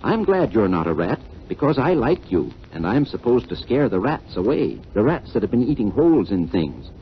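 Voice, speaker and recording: A man's voice: fairly low pitch, very animated, normal speed, slight background noise. A man voicing a friendly, innocent young female kitten in a perky, guileless way for a children's radio story. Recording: dull vintage 1940s radio recording.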